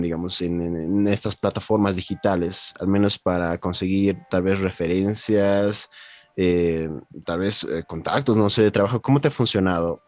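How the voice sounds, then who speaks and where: Low-voiced man hosting a podcast. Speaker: podcast host, interviewer. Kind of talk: conversational podcast interviewing. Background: faint background music.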